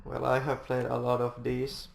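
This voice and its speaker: Gravelly young man gaming. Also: mid-range voice, completely flat delivery, moderate pace, faint music behind. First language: Finnish. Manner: joking, rambling, laughs often. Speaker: gaming channel host. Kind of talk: casual let's-play commentary. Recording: echoey room.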